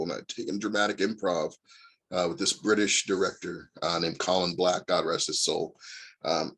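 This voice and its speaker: Low-voiced husky man telling stories. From Indiana, USA. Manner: rambling storyteller with many fillers. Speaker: guest actor. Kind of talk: casual interview chat.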